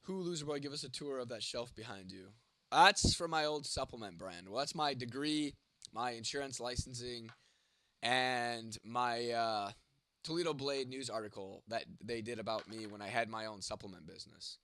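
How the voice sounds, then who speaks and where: A man's voice: mid-range voice, normal speed, fairly bright tone, no room sound. Congested young man streaming casually. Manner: laid-back, swears casually. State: congested, losing his voice, coughing. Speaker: livestream host. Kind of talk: casual livestream chat, reading viewer comments.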